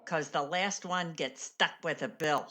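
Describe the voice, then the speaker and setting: A woman's voice: low-pitched, a little slow, sharp tone, slight background noise. A woman playing a worldly, wisecracking theatre colleague in a radio play, blunt, slangy and sardonic. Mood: wry.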